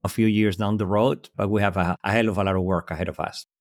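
A man's voice: lowish voice, steady tone, fast talker, dry recording, clean studio sound. A man from Spain speaking English with a Spanish accent, a guest giving thoughtful, structured answers in a podcast interview. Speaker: guest executive.

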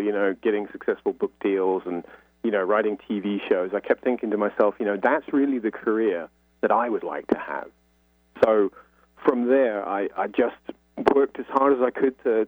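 A British man talks in a relaxed conversational interview style as a guest. His voice sits fairly low, and it comes over a narrow, tinny phone connection with little room sound. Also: no echo. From Britain. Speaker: guest author.

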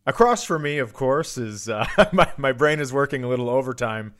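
A man's voice: mid-range voice, expressive, normal speed, a bit bright. A man hosting a comedy podcast, bantering casually and telling self-deprecating, profane stories.

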